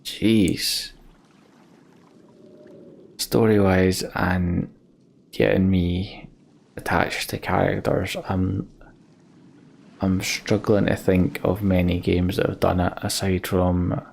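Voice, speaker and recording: Male let's play host, low-pitched, gravelly, casual, halting reflective delivery, slight background noise. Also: flat delivery, a little mellow. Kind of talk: casual sign-off chat to viewers.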